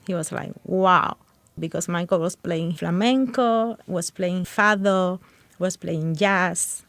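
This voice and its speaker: Cuban woman telling an animated story. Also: fairly low pitch, slow, no echo. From Cuba. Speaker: interviewee, musician's wife.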